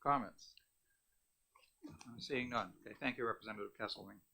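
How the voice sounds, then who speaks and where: A man's voice: fairly low pitch, quick pace, vocal fry, bright, forceful tone, slightly rough. A man chairing a legislative hearing, calling witnesses politely and working through procedure. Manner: polite, procedural, slightly unsure over names. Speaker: committee chair.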